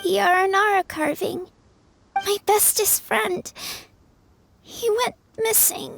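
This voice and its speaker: A woman voicing a frail, earnest little girl in a video game, halting and wistful. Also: extremely high pitch, fairly even intonation, vocal fry, a little hiss or hum, full character voice. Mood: sad, wistful. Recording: loud music under the voice.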